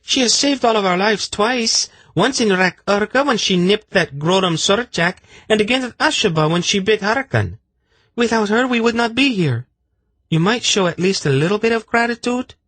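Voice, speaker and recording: A man's voice: high voice, expressive, moderate pace, no echo, pitched above the performer's own voice. A man doing a fiery indignant young woman. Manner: indignant, sharp. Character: fiery young woman defending a pet snake.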